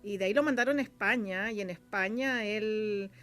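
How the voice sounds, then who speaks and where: A woman with a Chilean accent explaining things as an interview guest, colloquial and a little halting as she thinks aloud. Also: medium pitch, a bit bright, soft background music. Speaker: interview guest, radio drama scriptwriter and producer. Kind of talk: casual conversational explaining.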